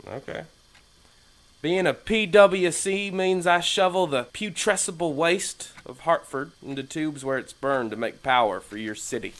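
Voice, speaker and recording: An actor playing a plain-spoken, blunt working-class sewage worker in an audio drama, sometimes hesitant. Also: male voice, fairly high pitch, unhurried, fairly bright tone. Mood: exasperated.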